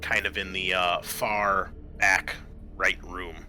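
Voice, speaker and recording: A man running a tabletop roleplaying game as game master, narrating in halting, pieced-together phrases. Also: low voice, little pitch movement, bright, forceful tone, a little hiss or hum.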